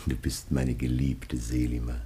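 An anguished, aged patriarch of a biblical radio drama speaks in a very low voice. He declaims slowly and gravely in lofty, archaic phrases, as a man facing his death. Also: flat delivery, dark tone, a little hiss or hum. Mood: sorrowful, grave. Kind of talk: solemn classical German radio play acting.

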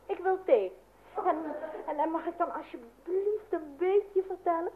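An actress playing an excitable, worn-out young daughter with gushing exclamations, in an old-fashioned radio play. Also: female voice, extremely high pitch, measured pace, a little hiss or hum. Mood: exasperated, pleading. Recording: tinny band-limited old radio recording.

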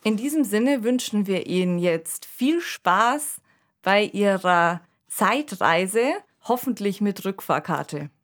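A woman's voice: medium pitch, lively intonation, slow pace. A woman co-hosting a podcast in an easy conversational style. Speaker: podcast co-host.

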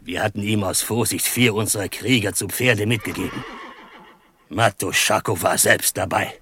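An actor playing a proud, solemn chief held prisoner in a western radio drama, speaking formally and with measured gravity. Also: male voice, on the low side, sharp tone, a little hiss or hum. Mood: grave, bitter. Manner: formal, measured, speaks of himself in third person.

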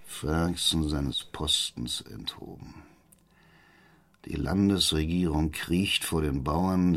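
Blustering, commanding mayor. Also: male voice, sits low, full character voice. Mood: bitter, defiant. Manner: emphatic, sardonic, commanding. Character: blustering, cunning small-town mayor, crude and domineering. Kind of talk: German radio drama acting.

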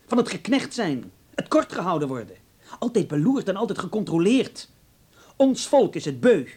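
A man's voice: high-pitched, sing-song, moderate pace, a little mellow, husky, full character voice. A man playing a defiant German nationalist, declamatory and dismissive, in a radio drama. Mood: indignant.

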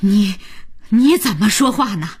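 A man voicing a stubborn, quarrelsome old grandmother in a shrill falsetto for an audiobook reading. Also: wildly swooping pitch, moderate pace, slightly rough, exaggerated voice. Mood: indignant. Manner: indignant, quarrelsome, won't back down.

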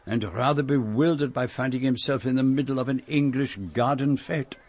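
An actor playing a courteous, shrewd Belgian detective with a French-speaking Belgian accent, formal and precise in a radio drama. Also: male voice, mid-range voice, darkish tone, lightly acted. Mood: measured, thoughtful. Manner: measured, formal, precise phrasing. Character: courteous, shrewd Belgian private detective, middle-aged or older.

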